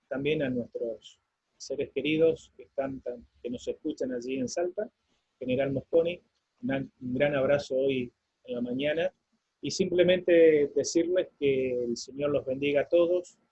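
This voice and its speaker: An Argentine man with a husky voice sends greetings to congregations, halting as he goes. The audio sounds dull and lo-fi. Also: a little high, expressive, mellow. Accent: Argentine Spanish. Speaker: pastor. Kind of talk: greetings on a church lesson program.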